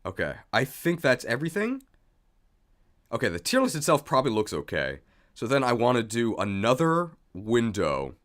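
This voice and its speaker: A man's voice: medium pitch, wildly swooping pitch, normal speed, fairly bright tone. A man hosting a livestream, chatting casually and thinking aloud in a jokey, self-deprecating way. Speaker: streamer, video host. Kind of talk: casual livestream chat while setting up.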